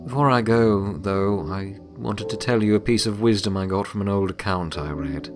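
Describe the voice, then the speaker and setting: A man voicing a caring, wry male pony loner with an awkward, halting, earnest way of talking in an audio drama. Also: on the low side, lightly acted. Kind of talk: audio drama character dialogue. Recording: loud music bed under voice. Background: loud music.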